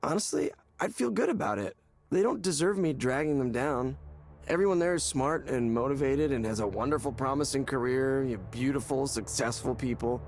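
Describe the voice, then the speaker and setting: An actor playing a despairing, hollow man in a visual novel game, speaking haltingly and repeating words as he searches for them. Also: medium pitch, animated, a little hiss or hum, close to an everyday voice. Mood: self-loathing, gloomy. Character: despairing, hollow man confiding in a counseling session.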